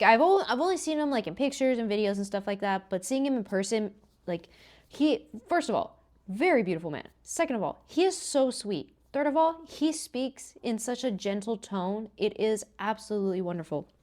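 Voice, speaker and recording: A woman's voice: mid-range voice, darkish tone. A young woman chatting casually to her phone camera in a vlog, full of "like" fillers and restarts. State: congested, stuffy nose. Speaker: vlogger.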